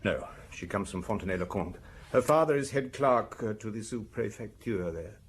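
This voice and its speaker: A man's voice: on the low side, animated, normal speed, a little hiss or hum, close to an everyday voice. Man acting a weary husband, swooping intonation. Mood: weary, candid. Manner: hesitant, explanatory, breaking off mid-sentence. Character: weary, defensive husband under police questioning over his wife's murder. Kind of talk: radio drama acting.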